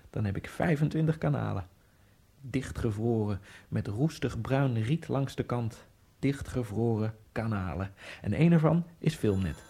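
A lonely immigrant skater muses wryly to himself in a radio play. His mid-pitched voice is gravelly and a little husky, and he speaks in a quiet, inward way. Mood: wry musing. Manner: wry, brooding inner monologue. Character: lonely immigrant man, obsessive speed skater. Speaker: first-person narrator of a radio play. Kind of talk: radio drama monologue.

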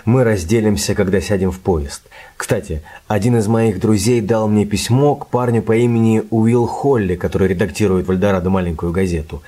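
A man playing an easygoing young gentleman in a radio play. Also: on the low side, brisk, natural voice. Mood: eager, planning. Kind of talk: radio play acting with narration.

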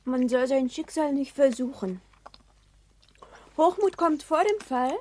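A woman's voice: sits high, lively intonation, a little slow, a little mellow. A woman acting a prim, curious young girl, the heroine's friend, in a German radio play.

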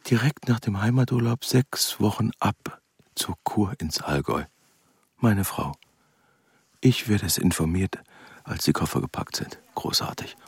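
A man's voice: on the low side, a little slow, breathy edge, no room sound, exaggerated voice. An actor playing a middle-aged German engineer and father in a radio play, stiff and exasperated. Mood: exasperated, brooding. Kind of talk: radio drama acting.

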